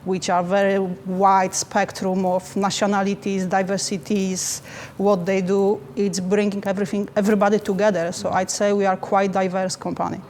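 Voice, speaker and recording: A woman with a Polish accent, a native Polish speaker, answering interview questions as a finance manager in careful, halting phrasing. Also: mid-range voice, level tone, a little mellow, a little hiss or hum.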